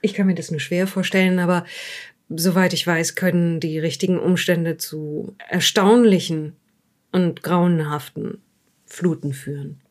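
A woman playing a polite, patient police interviewer in an improvised audio drama, courteous and a little halting. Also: fairly low pitch, fairly even intonation, close to an everyday voice. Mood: probing, careful.

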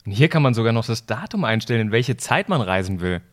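A man's voice: medium pitch, very animated, fast talker, natural voice. A man playing an easygoing, adventurous, rational time traveller in an amateur audio drama, casual and understated. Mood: intrigued.

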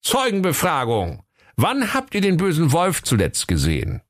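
A man's voice: a little high, wildly swooping pitch, normal speed, no room sound, studio quality, pitched above the performer's own voice. A man voicing an officious, huffy police inspector in a comic animal fairy tale, blustering and impatient. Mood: commanding.

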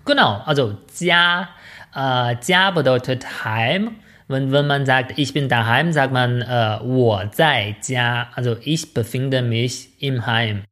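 A woman's voice: a bass voice, slow, a bit bright. A woman whose first language is Mandarin, speaking with a Chinese accent as a podcast co-host, hesitant, with many filler sounds. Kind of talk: casual podcast chat.

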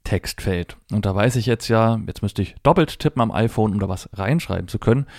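A man's voice: lowish voice, brisk, darkish tone, no room sound, studio quality. A middle-aged man giving a conversational, step-by-step tech tutorial, explaining and spelling things out as he demonstrates a device. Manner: spells out letters and dot numbers step by step. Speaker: presenter of a tech tutorial for Braille users.

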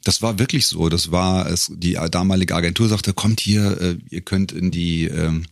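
Middle-aged male guest, very low creaky voice, casual storyteller who pauses to choose his words. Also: fairly even intonation, no echo, clean studio sound. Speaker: podcast guest, singer and professional voice-over speaker.

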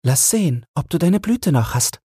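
Storybook tree spirit, a tender little girl acted by a man: lifted, very breathy voice, deliberate and softly lilting. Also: fairly high pitch, very animated, no echo, studio quality, pitched above the performer's own voice, exaggerated voice. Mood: mysterious, gentle. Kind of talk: bedtime fairy tale reading.

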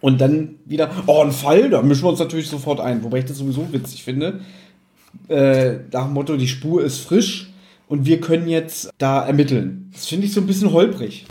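A man's voice: on the high side, fairly quick, dark tone. A man in his thirties co-hosting a podcast, casually discussing an audio drama and acting out quotes as he retells. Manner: acts out quotes while retelling. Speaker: podcast co-host.

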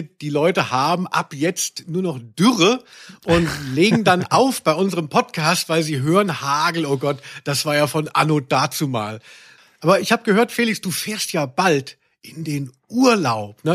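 Higher-voiced man, playfully ironic. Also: a bit bright. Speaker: podcast host. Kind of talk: casual chat.

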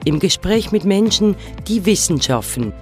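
A man with a fairly high voice gives a podcast's scripted opening announcement. His delivery is smooth and practiced. Music plays clearly behind him. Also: big pitch swings, normal speed, darkish tone.

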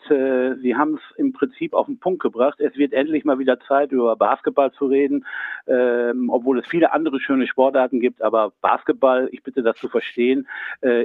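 A man's voice: mid-range voice, no echo. Brief: male guest in his sixties, chatty, lots of hesitations, thin phone-line sound, dry. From Germany. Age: 60s. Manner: chatty, many fillers. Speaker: guest, sports official. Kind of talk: phone-in interview.

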